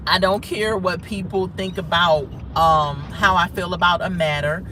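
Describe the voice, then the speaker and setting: A woman's voice: on the low side, fairly even intonation, normal speed, sharp tone. An American woman talking casually and frankly as a livestream host, in an informal style that often repeats itself. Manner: informal, outspoken, repeats herself. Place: inside a moving car. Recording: noisy car ride, distant mic.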